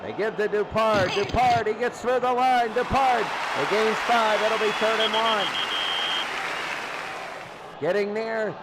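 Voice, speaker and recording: A man's voice: sits high, slow pace. A man doing live play-by-play football commentary in short, clipped phrases, often repeating his calls. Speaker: play-by-play sports announcer. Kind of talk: excited live American football radio commentary. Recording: loud crowd roar and heavy noise.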